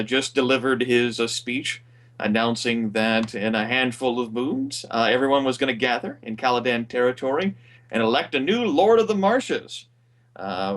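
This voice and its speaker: Booming guy doing the gm recap, lots of uhs, sounds a bit far off the mic. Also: fairly bright tone. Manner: rambling with frequent uh fillers. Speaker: game master. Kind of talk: recapping a tabletop game over voice chat.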